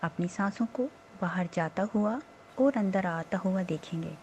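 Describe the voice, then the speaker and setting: A woman meditation instructor leading a slow guided relaxation in a soothing, unhurried, gently instructing manner with pauses. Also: fairly low pitch, muffled recording, slight background noise.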